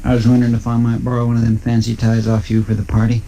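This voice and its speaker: An actor playing a deferential, rambling deputy with a folksy rural American drawl in a 1950s western radio drama. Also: male voice, mid-range voice, completely flat delivery, very fast, gravelly, dark tone, close to an everyday voice. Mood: hesitant. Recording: noisy old radio recording.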